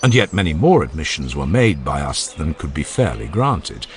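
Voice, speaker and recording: A man narrating a dramatized classical dialogue in a formal, measured and expressive oratorical manner. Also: extremely low pitch, a little hiss or hum. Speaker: audiobook narrator. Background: ambient sound effects.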